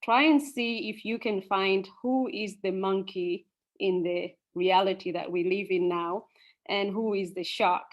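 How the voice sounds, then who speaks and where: A young woman from Tanzania speaking to an audience and then telling a folk story, unhurried, with many ums and uhs. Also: medium pitch. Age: young adult. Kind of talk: storytelling talk.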